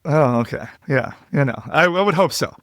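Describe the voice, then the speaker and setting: Lively man, bantering host. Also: on the high side, moderate pace, no echo, clean studio sound. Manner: upbeat, bantering, laid-back. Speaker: podcast host. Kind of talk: casual chat.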